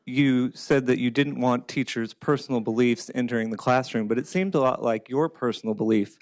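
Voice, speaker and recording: A man's voice: mid-range voice, brisk, darkish tone. A man, a legislator, questioning a witness bluntly at a committee hearing. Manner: pointed, blunt cross-examining.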